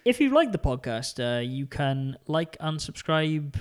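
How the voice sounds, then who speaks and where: A man's voice: on the high side, big pitch swings, clean tone. A young man with a Welsh accent, co-hosting a casual gaming podcast in a chatty, rambling way. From Wales. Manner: chatty, rambling, quick laughs. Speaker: podcast co-host.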